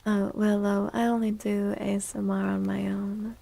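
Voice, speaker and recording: Female ASMR streamer, soft and breathy near-whisper, fairly even melody with some creak, casual and gentle, close-miked. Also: mid-range voice, moderate pace. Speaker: live streamer hosting chat. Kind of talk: soft-spoken ASMR, casual live chat.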